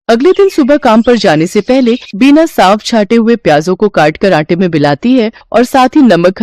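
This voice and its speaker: A woman narrating a moral story in a calm, scripted storytelling manner. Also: mid-range voice, no echo.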